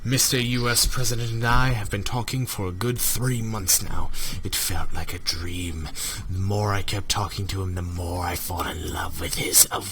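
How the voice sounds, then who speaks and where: A man reading a story aloud in a theatrical, comedic way, often dropping into a hushed, breathy delivery. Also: deep voice, fairly even intonation, moderate pace, hardly any pauses. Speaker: host reading fan fiction aloud to friends. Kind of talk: mock-dramatic comedic readalong.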